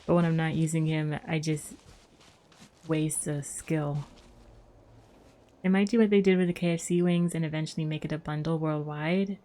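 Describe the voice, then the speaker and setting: A woman's voice: low-pitched, fairly even intonation, normal speed, long pauses, dark tone. A woman livestreaming a game, chatting casually and musing aloud in a rambling way. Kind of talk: casual chat while gaming. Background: game music.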